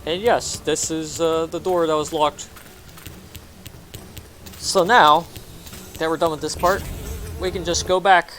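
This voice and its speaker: A man's voice: a little high, fairly even intonation, a little slow, pauses often, fairly bright tone. A man giving a casual game walkthrough, narrating his own actions offhandedly in short phrases. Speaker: gameplay commentator. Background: game music.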